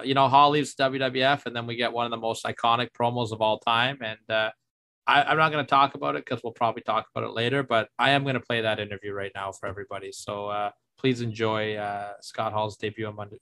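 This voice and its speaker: A man co-hosting a casual wrestling podcast, steering the talk along with quick jokes. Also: fairly low pitch, steady tone, bright, forceful tone. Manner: steers the show, joking and moving things along. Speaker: podcast co-host.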